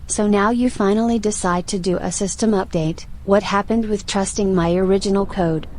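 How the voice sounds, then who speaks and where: A woman's voice: medium pitch, fairly even intonation, darkish tone, natural voice. A woman playing a composed, inquisitive artificial intelligence with a formal, dry manner in an audio roleplay. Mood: dry, challenging. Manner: formal, measured, matter-of-fact. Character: composed, inquisitive female archive AI with dry attitude.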